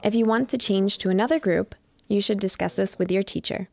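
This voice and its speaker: Woman, scripted voice-over, even delivery, slight fry. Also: medium pitch, brisk. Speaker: voice-over narrator and interpreter. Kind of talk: scripted informational narration.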